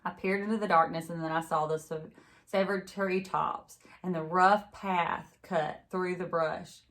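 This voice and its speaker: A woman with a slightly low voice reads a children's book aloud. She is expressive and teacherly, speaking as if to a class of young listeners. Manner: pauses to chat with young listeners.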